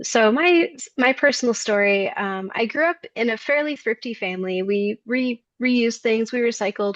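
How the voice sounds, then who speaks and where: A woman's voice: mid-range voice, no echo. A woman in her thirties who grew up in Maine, presenting a design workshop over a video call with frequent ums and uhs. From Maine, United States. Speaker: design lecturer and workshop facilitator. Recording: dull, dry video call audio.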